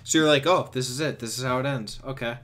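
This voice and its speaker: A young man chatting and telling stories casually on a voice chat, in an animated, exasperated, sweary way. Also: medium pitch, quick pace. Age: young adult. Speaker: streamer on a group voice chat. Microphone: computer mic on a voice chat. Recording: noisy voice chat with clicks.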